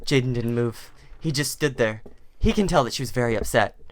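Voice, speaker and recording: A woman's voice: extremely low pitch, fairly quick, dry recording. A woman reading fan fiction aloud as an amateur, in a mock-serious dramatic style.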